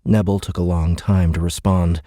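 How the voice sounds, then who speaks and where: Low warm guy narrating a sci-fi audiobook, really dry close sound. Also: fairly even intonation, moderate pace, dry recording. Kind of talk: scripted dramatic narration of science fiction.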